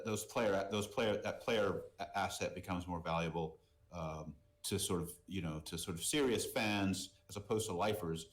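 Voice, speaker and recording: A middle-aged man from Boston with an American accent, talking casually as an interview guest, repeating words and often saying "you know". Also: low-pitched. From Boston, United States. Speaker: podcast guest, merchandise business executive.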